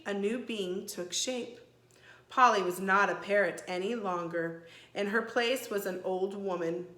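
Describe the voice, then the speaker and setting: A woman teacher reading a children's story aloud expressively, pausing to explain things to young listeners. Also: mid-range voice, pushed and bright. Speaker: first grade teacher. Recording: echoey room.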